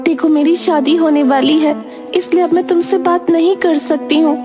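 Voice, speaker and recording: A petulant young woman from a cartoon, put on by a female narrator. Her voice is pitched high and shrill as she scolds spitefully. Music runs underneath. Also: steady tone, moderate pace. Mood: sulky, angry. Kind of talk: Hindi cartoon voice acting.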